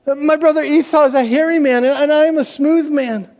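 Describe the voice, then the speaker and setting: Nervous young son played by a man: high, fretful, scripture drama, long room reverb. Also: steady tone, moderate pace, darkish tone. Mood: anxious. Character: anxious young smooth-skinned son Jacob.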